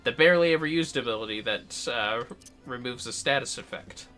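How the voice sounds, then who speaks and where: A man's voice: medium pitch, fairly quick, pushed and bright, soft background music. A man chatting casually and a bit ramblingly as he livestreams a game, swearing freely. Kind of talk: casual chat while gaming.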